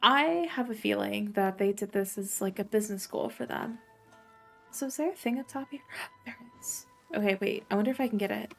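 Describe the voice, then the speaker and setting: A woman's voice: medium pitch, fairly quick, vocal fry, a bit bright. A woman live-streaming a game with casual, playful commentary, musing aloud as she plays. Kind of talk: casual reactive gameplay commentary. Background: game music.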